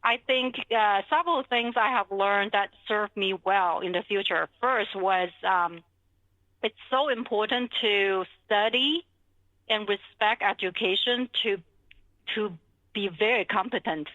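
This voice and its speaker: Bright-voiced Chinese-accented woman, dull recording. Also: mid-range voice, measured pace, no room sound. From China. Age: middle-aged. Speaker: financial advisor being interviewed. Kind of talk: conversational interview, telling her career story.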